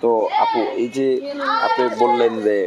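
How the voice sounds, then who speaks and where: A man's voice: medium pitch, steady tone, normal speed, heavy background noise. A man with a Bangladeshi accent interviewing someone casually, probing and repeating answers back as questions. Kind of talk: casual on-camera street-style interview.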